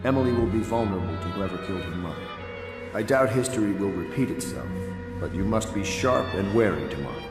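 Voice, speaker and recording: A man playing a commanding, scheming conspirator leader in a video game, speaking with formal, brisk authority. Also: on the low side, animated. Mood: authoritative. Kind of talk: video game character acting. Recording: echoey hall, music underneath. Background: music.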